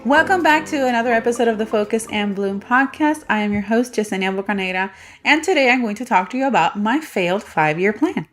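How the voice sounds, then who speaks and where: A woman's voice: on the high side, expressive, a little fast, faint music behind. A woman in her thirties from Puerto Rico, hosting a solo podcast and telling a personal story in a chatty way. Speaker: podcast host.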